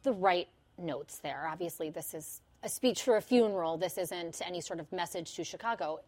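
A woman hosting a local news review program, reading headlines and then putting measured questions to a panel of journalists in a studio. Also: lowish voice, a little mellow. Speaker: news show host and panel moderator. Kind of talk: broadcast news moderation.

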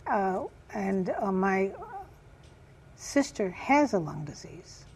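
Raspy-voiced woman speaking haltingly. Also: medium pitch, big pitch swings, darkish tone, a little hiss or hum. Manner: halting, earnest, with many pauses and fillers. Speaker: community group chairwoman. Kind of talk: news interview guest.

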